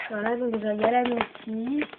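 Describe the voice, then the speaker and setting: A woman with a cold, chatting casually and rambling as she goes through items she is selling, with many pauses and apologies. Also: fairly high pitch, level tone. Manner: rambling, full of "euh" and "voilà", apologetic. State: has a cold, coughing. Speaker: channel host selling her used items. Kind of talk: casual chatty sales video. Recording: tinny lo-fi phone-like recording with faint noise.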